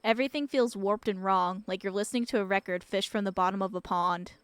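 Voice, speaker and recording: A woman reading aloud the measured, descriptive second-person narration of an eerie visual novel. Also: medium pitch, clean tone, no echo, close to an everyday voice.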